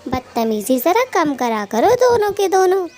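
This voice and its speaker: A woman's voice: very high-pitched, very animated, a little slow, warm tone, exaggerated voice. A woman voicing a bossy, quarrelsome female cartoon bird with a scolding, taunting way of talking. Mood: angry, threatening. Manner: scolding, taunting, colloquial bickering. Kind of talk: Hindi cartoon story dialogue.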